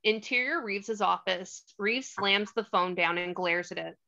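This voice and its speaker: A woman narrates a script's stage directions at a table read. Her mid-pitched voice is bright and sharp. The recording is a little lo-fi. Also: measured pace, creaky. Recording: slightly dull lo-fi audio.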